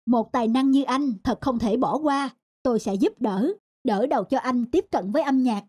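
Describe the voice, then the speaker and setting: Woman voicing an encouraging, high-pitched music mentor. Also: normal speed, a little mellow, breathy edge. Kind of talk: animated story dialogue. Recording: music under the voice.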